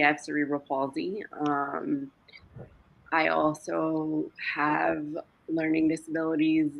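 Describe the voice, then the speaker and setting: A woman in her thirties, a Greek native speaker, talking openly as a podcast guest, with halting restarts. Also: low-pitched, creaky, poor audio. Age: 30s. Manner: open, laughs easily, halting restarts. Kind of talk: casual podcast chat.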